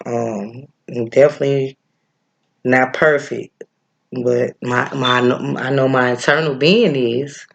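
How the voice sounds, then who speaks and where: A man with a Midwestern accent talks through breathing techniques in a loose, chatty way. His voice sits at a middle pitch with a gravelly edge. He drifts off in thought and fills his pauses with "um" and "uh". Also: fairly even intonation, muffled recording. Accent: Midwestern American. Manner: laid-back and chatty, stops often with fillers to search for a name. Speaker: breathwork and yoga teacher. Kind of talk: casual spiritual teaching, rambling.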